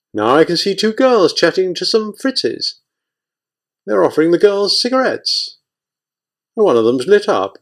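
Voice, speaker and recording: A man's voice: a little high, voice pushed up, heavily acted. A man voicing a cunning yet simple-hearted young male soldier who reports what he sees in a sing-song voice.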